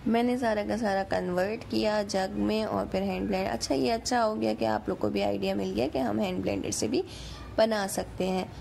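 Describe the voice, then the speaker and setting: A woman's voice: mid-range voice, fairly even intonation, fairly quick, gravelly, darkish tone, a little hiss or hum. A woman with a Pakistani accent giving a chatty, informal recipe voice-over as a home cook.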